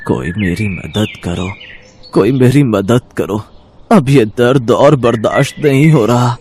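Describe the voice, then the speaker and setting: A man playing an old, sick villager in a storybook tale, husky and pleading for help in pain. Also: medium pitch, takes it slowly, a little mellow.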